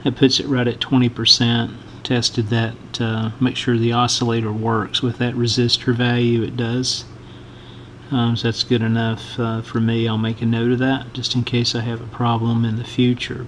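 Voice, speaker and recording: Man with an even tone, noisy recording. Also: mid-range voice. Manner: halting, unhurried, thinking aloud. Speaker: host of a radio repair channel. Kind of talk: informal hands-on repair walkthrough. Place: indoor electronics workbench.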